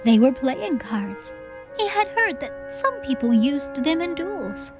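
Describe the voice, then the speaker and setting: A woman's voice: high voice, big pitch swings, slow pace, music in the background. A woman reading scripted fantasy storybook narration as an audiobook narrator.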